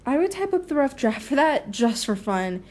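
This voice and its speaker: A woman's voice: sits high, big pitch swings, moderate pace. A woman acting a biting, vengeful journalist in an audio drama, cutting and full of relish. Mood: scornful, relishing.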